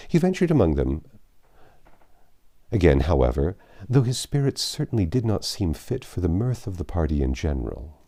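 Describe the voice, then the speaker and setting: A man reading classic literary fiction aloud as an audiobook narrator, in a measured, expressive storytelling manner. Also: sits low.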